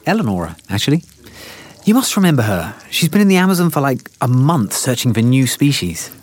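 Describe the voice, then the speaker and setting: An actor playing a perky, nerdy male scientist in a naturalistic audio drama, eager and pedantic, slightly husky. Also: medium pitch, sing-song, brisk, no room sound.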